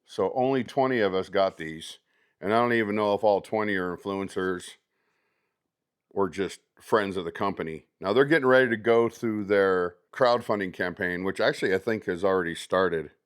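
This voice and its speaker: Low-voiced man casually demonstrating a product. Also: normal speed, clean tone. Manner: conversational, showing items step by step. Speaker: product reviewer.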